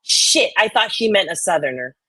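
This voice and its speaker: A woman's voice: medium pitch, expressive, moderate pace, pushed and bright, slightly rough, no room sound. A woman co-host doing irreverent, sweary dramatic readings of online comments mixed with banter.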